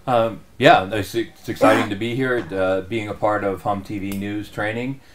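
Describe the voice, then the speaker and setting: A middle-aged man giving unscripted interview answers as a guest, earnest and somewhat halting. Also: on the low side, steady tone. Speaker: guest, news trainer.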